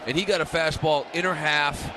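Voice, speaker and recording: A man's voice: a little high, fairly even intonation, moderate pace, a crowd in the background, noisy background. A man with an American accent giving emphatic, colloquial analysis on a live baseball radio broadcast. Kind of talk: conversational sports analysis.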